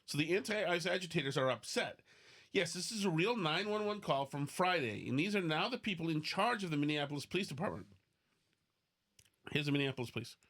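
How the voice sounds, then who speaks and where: A man hosting a podcast in casual, opinionated banter, excitable and freely swearing. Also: fairly high pitch, fairly quick. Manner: profane, excitable. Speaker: podcast host.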